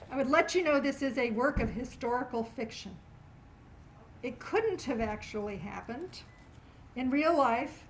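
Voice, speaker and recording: A woman's voice: a little high, low-quality recording. A woman, speaking live to an audience as the host introducing a performance. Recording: live room with faint background noise.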